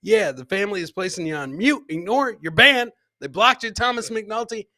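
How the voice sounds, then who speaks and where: A middle-aged man hosts a live comedy podcast in casual, slangy banter. His voice sits a little high with a sharp, punchy edge, captured close and dry on a podcast mic. He talks like a buddy, doubling back on phrases to drive them home. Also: expressive, fairly quick, dry recording. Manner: chummy, slangy, repeats phrases for emphasis. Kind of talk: casual livestream banter reacting to clips and viewer comments. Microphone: close podcast mic on a boom, with headset.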